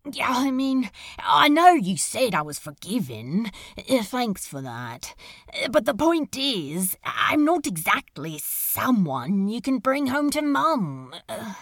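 A woman playing a male demon in a fan audio drama, sardonic, exasperated and insecure, speaking in halting, drawn-out phrases. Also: a little high, big pitch swings, fairly bright tone, dry recording, clean studio sound, exaggerated voice. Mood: awkward, sardonic.

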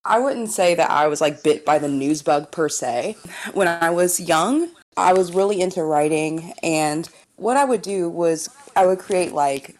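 A young woman from Indiana with a low voice and a slight rasp answers interview questions. She tells her story with an easy, storytelling flow, often breaking into laughs. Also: unhurried, darkish tone, a little hiss or hum. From Indiana, USA. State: slightly raspy voice. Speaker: TV news anchor, guest.

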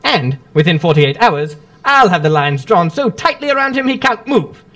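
A man acting a brilliant, eccentric gentleman detective in an old-time radio drama, exclamatory and teasingly superior. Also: high voice, sing-song, normal speed. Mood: grave, intense.

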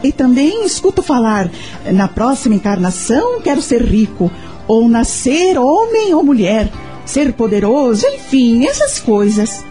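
A woman's voice: on the high side, very animated, measured pace, dark tone, faint music behind. An actress with a Brazilian accent playing a devout, earnest mother searching for answers in a radio soap opera. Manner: earnest, questioning. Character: devout, troubled young mother seeking answers.

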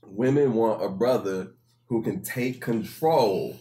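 A man in his early thirties, a guest in a casual group chat, speaking in a measured, articulate way with dry humor. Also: on the low side, mellow. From grew up in Indianapolis, Indiana. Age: 30s.

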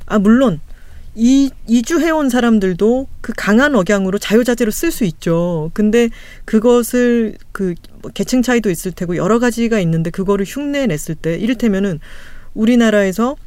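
A woman podcast host in casual chat, explaining her views carefully and thoughtfully, pausing often with fillers. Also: fairly high pitch, expressive, darkish tone, no room sound.

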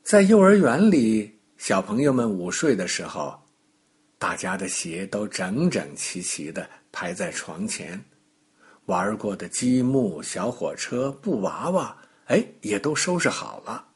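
Slow, very animated husky male storyteller. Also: fairly low pitch. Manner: animated, unhurried, with gentle interjections. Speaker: children's storyteller. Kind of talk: expressive narration of a bedtime story for small children.

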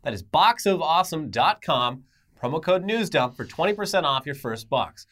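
Animated man co-hosting casual entertainment news. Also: on the high side, moderate pace. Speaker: co-host of an entertainment news show. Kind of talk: casual news commentary with sponsor reads.

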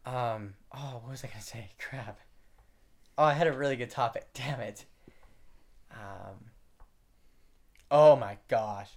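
A teenage man chatting casually as a vlogger, excitable and rambling, swearing for emphasis. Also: mid-range voice, takes it slowly, long pauses. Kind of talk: casual chat.

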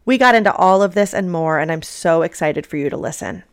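A woman hosting a podcast, chatty and upbeat, clearing her throat and sniffling a little after an illness. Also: on the low side, expressive, brisk. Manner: upbeat, chatty, self-deprecating. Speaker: podcast host. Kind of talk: podcast interview and intro.

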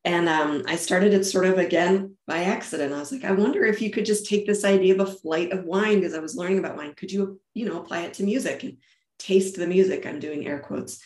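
Echoey, lower-pitched woman from Germany. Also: a little fast, darkish tone. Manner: enthusiastic, explanatory, with frequent restarts and fillers. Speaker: guest, event business founder. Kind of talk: conversational interview answers.

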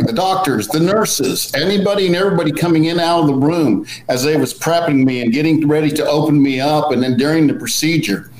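A man in his sixties chatting casually on a live stream, telling his own story in a plainspoken, folksy way over a video call. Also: medium pitch. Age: 60s. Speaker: co-host. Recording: roomy echo with faint background hum.

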